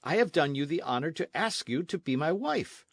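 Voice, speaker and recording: Guy doing a arrogant sneering army captain from a storybook, pushy, raised pitch, very animated. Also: moderate pace, no echo, clean studio sound. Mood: insistent. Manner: pompous, sneering, insistent. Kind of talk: storybook narration with character voices.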